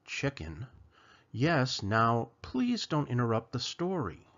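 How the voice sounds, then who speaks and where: Man acting father chicken, patient, weary, gently chiding, bedtime storybook, expressive, dull sound. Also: medium pitch, slow, full character voice.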